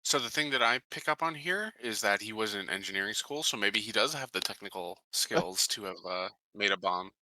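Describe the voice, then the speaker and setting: A man's voice: lowish voice, expressive, a little fast, few pauses, bright, forceful tone, no room sound, rough recording. A man playing a tabletop roleplaying game, chatting casually and hesitantly as he searches for his words.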